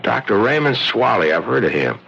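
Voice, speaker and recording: Skeptical wisecracking neighbor, seventies radio drama. Raspy, animated, casual delivery; dull broadcast recording. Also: male voice, lowish voice, fairly quick, heavily acted. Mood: wry, probing. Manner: casual, sardonic, streetwise. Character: skeptical, wisecracking city bartender, male neighbor. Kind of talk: 1970s radio drama acting.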